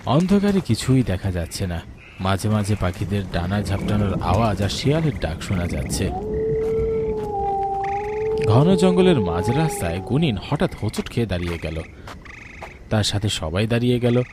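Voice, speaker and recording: A man's voice: fairly low pitch, very animated, normal speed, long pauses, very noisy. A man narrating a scripted, dramatic spooky story, with music underneath.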